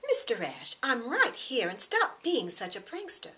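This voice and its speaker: A woman's voice: on the high side, wildly swooping pitch, a little slow, bright, forceful tone, voice pushed up, heavily acted. A woman voicing a vivacious, polite young woman in an audiobook novel. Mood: polite, lively. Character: glamorous, vivacious, polite young woman receiving her suitor.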